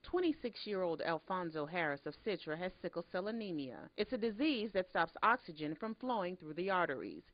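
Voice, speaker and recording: A woman's voice: sing-song, a little fast, hardly any pauses. A woman working as a TV news reporter, reading scripted news narration. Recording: dull, muffled low-fidelity audio.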